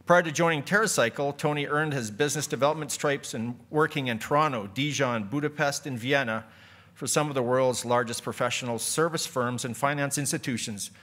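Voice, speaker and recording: A man's voice: medium pitch, a little fast, fairly bright tone. A man moderating a conference, introducing the next speaker from notes and chatting with the audience. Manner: reads from notes, then jokes with the audience. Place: conference hall.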